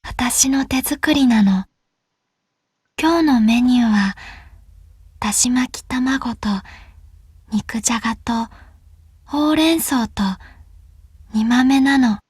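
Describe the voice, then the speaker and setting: A woman playing an unworldly, childlike high school girl in a visual novel, speaking softly in hesitant, halting phrases. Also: slightly rough, dry recording, studio quality. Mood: softly earnest. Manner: hesitant, soft-spoken, short halting phrases.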